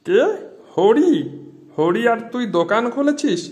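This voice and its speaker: A man plays a meddling village schemer in a moral-story cartoon. His voice sits high for a man. He talks in a pushy, scornful and persuasive way, like someone steering a gullible listener. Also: lively intonation, measured pace, darkish tone. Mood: scornful disbelief. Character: scheming older village acquaintance sowing distrust between friends.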